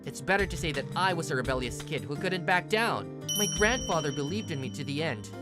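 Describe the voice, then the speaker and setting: A woman's voice: low-pitched, animated, brisk, over loud music. A woman voicing a male university student, plain-spoken but blunt and tough when provoked, in a manga dub. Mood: earnest, reflective. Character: young male university student, rough ex-delinquent, protective. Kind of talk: manga dub acting and first-person narration.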